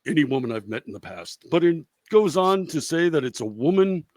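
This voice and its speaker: A man's voice: fairly high pitch, animated, fairly quick, a little mellow, no echo, clean studio sound, close to an everyday voice. A man playing a roguish, bumbling fortune-telling professor in a comedic radio play, with hesitant patter and wry asides. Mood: sly, theatrical.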